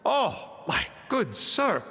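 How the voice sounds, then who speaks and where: A man playing a theatrical, smooth-talking stranger in a video game, slyly ingratiating, in heavy reverb. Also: high-pitched, wildly swooping pitch, very slow, sharp tone, soft background music, heavily acted. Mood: playfully ingratiating. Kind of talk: video game cutscene acting. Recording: long reverberant hall echo.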